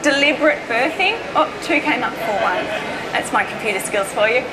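An Australian woman speaks in a somewhat high voice, giving an informal public talk. Her delivery is conversational and emphatic, stressing key words. The recording has loud background noise running behind her. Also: lively intonation, a little fast. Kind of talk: informal seminar presentation. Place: indoor presentation stage at an expo.

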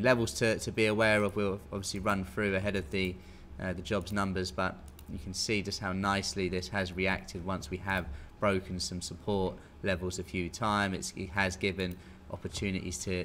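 Deep voiced british bloke doing a chatty live markets briefing, bit of background noise, webcam in an office. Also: male voice, little pitch movement, normal speed, few pauses, fairly bright tone. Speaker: market technical analyst.

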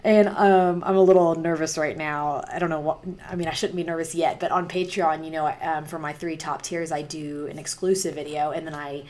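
A woman in her thirties with a raspy voice, chatting casually and rambling to camera about a song she is reacting to, recorded on a webcam in a small home room. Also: deep voice, steady tone, brisk, hardly any pauses, a little mellow. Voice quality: raspy voice from singer's nodules. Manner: chatty and rambling, many fillers. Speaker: music reaction vlogger. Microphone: webcam, wearing headphones.

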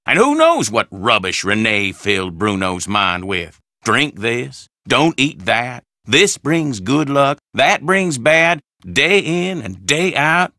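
An actor playing a pompous older doctor in a video game, speaking in a formal, deliberate, theatrical way. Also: male voice, mid-range voice, big pitch swings, bright, forceful tone, no echo, clean studio sound, heavily acted. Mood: indignant, accusing. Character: pompous, gloomy old Southern doctor, the dead man's friend.

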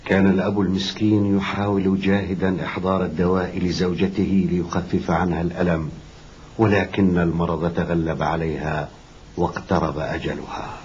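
A man's voice: low-pitched, little pitch movement, moderate pace, darkish tone, close to an everyday voice. A man narrating a sad cartoon tale as a solemn storyteller, reading from a script. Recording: dull, noisy old cartoon soundtrack.

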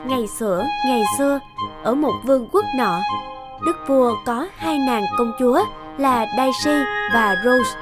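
A woman's voice: high voice, a little slow. A woman narrator reading a scripted fairy tale in a storytelling style. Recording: loud music behind the voice.

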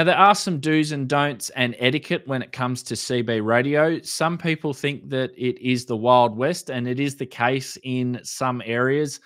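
A man's voice: mid-range voice, fairly bright tone, no echo, studio quality. A man in his thirties with an Australian accent, presenting an instructional tutorial in a plain-spoken, explanatory way. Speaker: presenter of a radio hobby tutorial. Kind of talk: instructional explainer, semi-scripted.

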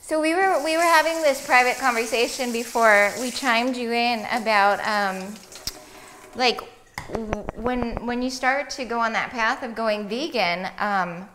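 A woman's voice: a little high, unhurried, background noise. A woman in her thirties co-hosting a cooking show, chatting playfully and casually in a home kitchen.

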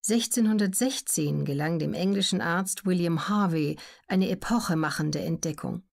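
A woman narrating scripted educational documentary material as an audiobook reader, measured and carefully articulated. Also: lowish voice, gravelly.

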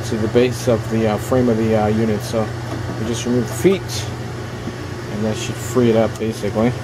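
Male repair vlogger, casual and halting, thinking aloud; noisy handheld camera sound in a small workshop. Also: lowish voice, level tone. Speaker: electronics repair hobbyist hosting a video. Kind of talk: casual hands-on repair commentary. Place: small indoor workshop at a workbench. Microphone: handheld camera's built-in microphone.

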